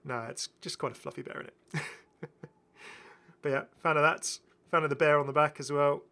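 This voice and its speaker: A man's voice: a little high, fairly even intonation, moderate pace, vocal fry, pushed and bright. A middle-aged man giving enthusiastic, casual, chatty commentary as a gaming video host, often repeating his phrases. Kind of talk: casual game skin showcase commentary.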